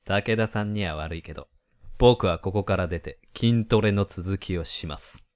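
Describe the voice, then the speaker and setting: A man's voice: low voice, normal speed, a little mellow, natural voice. A man playing a smug, laid-back young rival contestant in a comedic radio drama, nonchalant and mock-polite.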